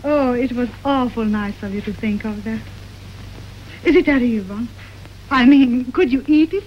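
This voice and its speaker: An actress playing a chatty, hopeful young waitress arriving as a bride, talking in a folksy, ungrammatical way, in early 1930s film acting. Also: female voice, on the high side, moderate pace, muffled recording, lightly acted. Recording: hissy early sound film.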